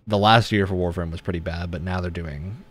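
A man's voice: deep voice, steady tone, fairly quick. A man chatting casually while streaming a game, sounding critical and bored. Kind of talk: casual gaming commentary.

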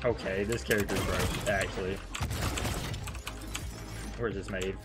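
A man's voice: low-pitched, steady tone, a little slow. Young guy commentating over game music. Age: young adult. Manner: sweary, slangy. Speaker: gaming streamer. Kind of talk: casual gameplay commentary. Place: indoors at a computer.

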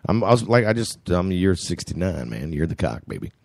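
A man's voice: deep voice, sing-song, fairly quick, creaky, a little mellow. A man in his forties from Florida, co-hosting a casual internet radio show with playful, joking banter. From Florida, USA. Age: 40s. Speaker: co-host. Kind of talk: casual radio-style chat.

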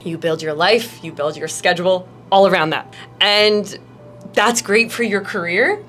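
A woman in her forties, a professor, answering interview questions in an office, thoughtful and laughing softly. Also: on the low side, measured pace, faint music behind. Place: indoor office. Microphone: handheld mic.

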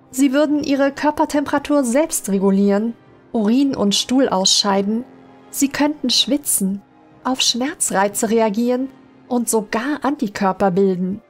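A woman reading scripted horror stories as an audiobook narrator, in a measured, dramatic manner. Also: on the high side. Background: music under the voice.

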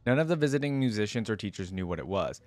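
Low-voiced young man, dry close sound. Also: sing-song, fast talker, darkish tone, dry recording, faint music behind. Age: young adult. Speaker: reaction video creator. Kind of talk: casual reaction commentary, reading lore aloud. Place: indoor room at a desk. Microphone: close desk microphone.

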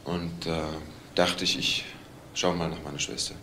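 Deep-voiced, evasive brother. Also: male voice, little pitch movement, pushed and bright. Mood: guarded. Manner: halting, evasive. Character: guarded, evasive brother of a suspect's girlfriend. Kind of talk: naturalistic German TV crime drama acting. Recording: echoey room, faint noise.

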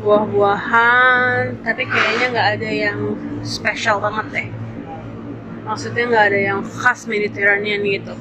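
A woman's voice: mid-range voice, little pitch movement, unhurried, noisy background. A woman from Indonesia in her thirties, chatting casually through a cafe visit and slipping English phrases into her talk. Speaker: food vlogger. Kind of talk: casual vlog narration. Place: cafe and grocery shop, indoors. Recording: music playing behind the voice.

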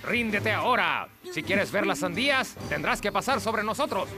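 A man's voice: sits high, expressive, moderate pace. A man voicing a heroic, fatherly penguin leader who believes he is a tiger warrior, self-assured and mock-heroic, in a cartoon. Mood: confident. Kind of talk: animated cartoon dubbing. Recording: loud music behind.

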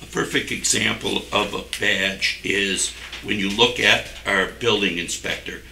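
Forceful older man in an echoey room. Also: on the low side, unhurried, vocal fry, slight background noise. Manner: defensive, insistent. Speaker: town select board member. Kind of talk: argumentative speech at a public meeting. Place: wood-panelled municipal meeting room. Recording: echoey meeting room, distant microphone.

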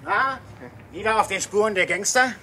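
A man's voice: sits high, moderate pace, a loud crowd, close to an everyday voice. An actor playing a friendly, teasing policeman who is a pal to children, in a radio play. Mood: jovial teasing. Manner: teasing, jovial.

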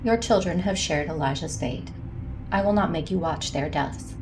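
A woman's voice: low voice, normal speed, natural voice, low-quality recording. A woman playing a cold, righteous female Jedi who pronounces judgement formally and sternly in an audio drama.

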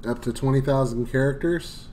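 A man hosting a casual screen-recorded software tutorial, talking loosely with lots of fillers and enthusiastic asides. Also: mid-range voice, normal speed, slight background noise. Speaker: tutorial host.

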